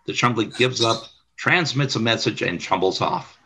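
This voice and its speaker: Narrator for audio drama: man, slightly low, raspy edge, bright forceful delivery of scripted action. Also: lively intonation, normal speed. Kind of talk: scripted radio play narration.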